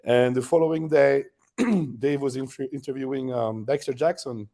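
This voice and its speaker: A man in his thirties with a French accent, a bodybuilder guest telling stories in a relaxed interview, laughing often. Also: medium pitch, moderate pace. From France. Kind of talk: casual interview storytelling.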